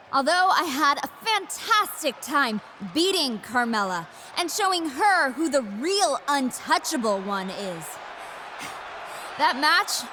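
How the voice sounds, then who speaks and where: A woman's voice: high voice, pushed and bright, heavily acted. A woman playing a boastful, haughty wrestling champion in a video game, showboating through a cocky promo. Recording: clear noise behind the voice.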